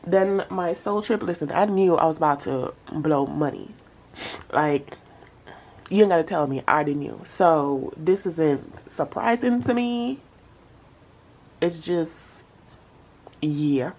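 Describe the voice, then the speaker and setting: A woman's voice: deep voice, takes it slowly, long pauses, darkish tone, low-quality recording. A young woman with a stuffy nose chatting casually to camera about her spending, slangy and addressing viewers as y'all. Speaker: budgeting vlogger.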